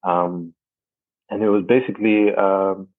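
A young man from Rwanda and Canada, a guest on an interview, speaking thoughtfully with many pauses and fillers. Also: deep voice, little pitch movement, no room sound. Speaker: guest, energy company manager. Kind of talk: casual interview talk. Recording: dry, phone-like line.

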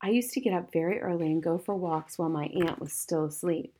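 A woman playing a gentle, modest young schoolteacher in a storybook, confiding in a soft, restrained way with quiet resilience. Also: on the low side, brisk, a little hiss or hum, natural voice. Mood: quietly proud.

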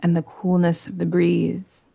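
A woman guiding a yoga nidra meditation in a soothing, gentle, unhurried manner. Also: fairly low pitch, monotone, gravelly, dry recording, lo-fi sound. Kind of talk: guided meditation.

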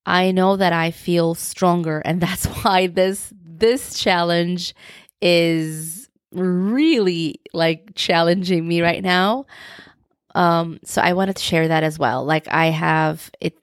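A woman's voice: lowish voice, fairly even intonation, slow pace, smooth, dry recording, studio quality. A young woman with a Turkish accent, hosting her own podcast, who talks in a reflective and openly personal way. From Turkey. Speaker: podcast host. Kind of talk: solo podcast monologue.

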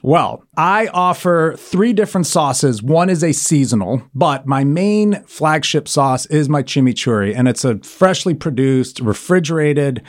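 A man's voice: fairly high pitch, expressive, unhurried, hardly any pauses. A man who grew up in Argentina and Italy, talking as a guest in a radio studio interview, friendly and rambling with lots of fillers. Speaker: guest, sauce company founder.

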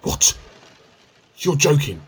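An actor playing an evasive, sheepish husband with a dry wit in a radio play comedy, hesitant and teasing. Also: male voice, takes it slowly, lightly acted. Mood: surprised, curious.

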